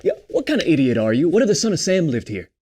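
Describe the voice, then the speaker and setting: An actor playing an irritable, defensive young drug dealer in a screenplay table read, snappy and street-tough with plenty of swearing. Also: male voice, a little high, wildly swooping pitch, fast talker, a little mellow. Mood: annoyed.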